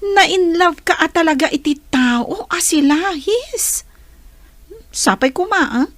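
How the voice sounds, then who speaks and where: A woman playing an excitable, nosy best friend in an Ilocano radio drama, theatrical and exclamatory, with a Filipino accent. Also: very high voice, takes it slowly, dry recording, heavily acted. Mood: concerned, probing.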